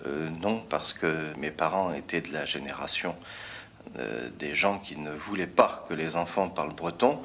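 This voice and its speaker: A man from Brittany answering interview questions hesitantly, pausing often. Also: extremely low pitch, fairly bright tone. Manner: hesitant, many pauses and fillers.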